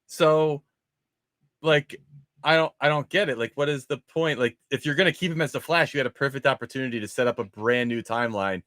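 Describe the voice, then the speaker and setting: A man's voice: mid-range voice, a little fast, a bit bright, no room sound, clean studio sound. A man hosting a casual film discussion, speaking informally with questioning, nitpicking exasperation. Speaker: podcast host.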